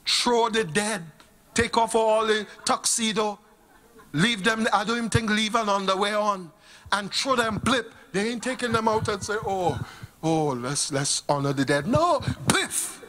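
Middle-aged vincentian pastor preaching in church on a handheld mic, slow and fiery, kinda highish voice. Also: male voice. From Saint Vincent and the Grenadines. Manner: impassioned, swings from hushed to exclaiming. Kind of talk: sermon. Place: church sanctuary stage. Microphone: handheld microphone.

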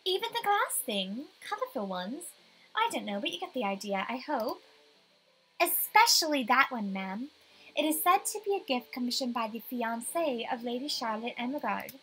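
Woman as a bright, eager young estate agent. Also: a little high, sing-song, moderate pace, slightly rough, exaggerated voice, low-quality recording. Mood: polite, proud. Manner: polite, emphatic sales presentation.

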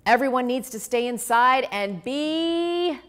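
An earnest young storybook frog forecaster, played by a woman. He is high-voiced and eager, announcing like a TV newscaster with animated pitch. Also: slow pace, heavily acted. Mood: earnest, warning. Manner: bright-eyed newscaster announcing.

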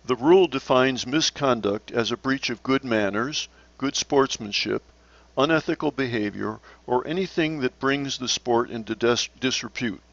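A man narrating scripted slides as an instructor, in a measured, explanatory manner. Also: medium pitch, creaky, a bit bright, dry recording, dull audio. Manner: measured, instructional, poses questions to the learner. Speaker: sailing instructor.

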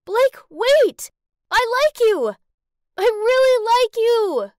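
A woman's voice: on the high side, lively intonation, measured pace, a little mellow, dry recording, studio quality. A woman voicing an emotional, impulsive teenage girl in love, urgent and pleading, in a dramatic story. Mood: desperate shouting.

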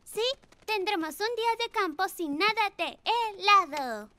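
A woman's voice: very high voice, lively intonation, measured pace, pushed and bright, exaggerated voice. A woman voicing a sweet, earnest little preschool girl in a children's cartoon dub. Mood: cheerful, earnest. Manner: cute, earnest, pleading. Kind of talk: animated children's series dub.

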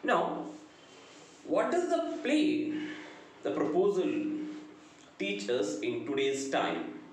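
A middle-aged man with a South Indian accent giving an explanatory lecture in a deliberate, emphatic, sing-song delivery. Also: on the high side, huge pitch swings. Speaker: English lecturer. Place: small indoor room. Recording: very echoey room, faint noise.

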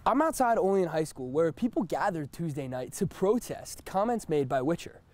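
A man's voice: fairly high pitch, big pitch swings, moderate pace, darkish tone. A young man with an American accent doing a news reporter's stand-up outdoors. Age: young adult. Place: outdoors in front of a school building.

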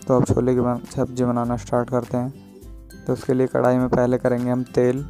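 A man's voice: medium pitch, monotone, normal speed, warm tone, soft background music. A man presenting a recipe as a home cook, explaining it step by step in a plain instructional voice-over. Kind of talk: step-by-step cooking tutorial voice-over.

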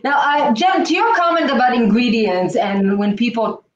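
A woman's voice: a little high, lively intonation, normal speed, lo-fi sound, a crowd in the background. A middle-aged woman, from Israel, hosting a casual cooking chat on a video call from her home kitchen. She is emphatic and repeats her key points. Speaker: cooking show host. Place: home kitchen, indoors, standing back from the camera. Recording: echoey kitchen, distant mic, faint hiss.

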